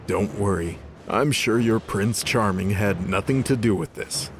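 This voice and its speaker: A man voicing a sardonic, gruff disgraced angel fighter in an audio drama, swearing and sarcastic. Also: lowish voice, unhurried, a little hiss or hum, close to an everyday voice. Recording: music under the voice.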